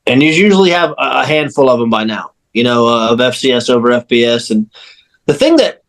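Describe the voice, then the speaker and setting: A man's voice: mid-range voice, sing-song, fairly quick, fairly bright tone. A man on a sports podcast crew, talking casually and emphatically, repeating phrases to drive points home. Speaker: sports radio crew member and panelist.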